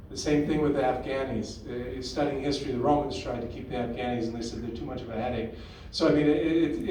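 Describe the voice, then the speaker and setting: A man's voice: mid-range voice, a little mellow, a little hiss or hum. Opinionated man talking in an echoey hall. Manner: conversational, opinionated, restarts often. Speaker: host of a town hall meeting. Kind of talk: town hall discussion. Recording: echoey room, far from the mic.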